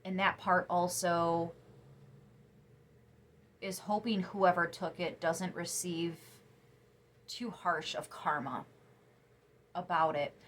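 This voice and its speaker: A young woman giving a casual tarot reading to camera, chatty and emphatic, sniffly after crying, her voice turning soft when she muses. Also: lowish voice, fairly even intonation, slow pace, pauses often. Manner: chatty, emphatic, drops to soft musing asides. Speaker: tarot reader.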